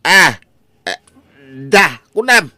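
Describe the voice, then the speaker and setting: A man's voice: high voice, big pitch swings, slow pace, bright, forceful tone, no echo, exaggerated voice. A man playing a flustered, stammering elderly father with an Ilocano accent in a comedy audio drama. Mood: flustered, startled. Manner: stammering, hesitant. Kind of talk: comedy radio drama acting.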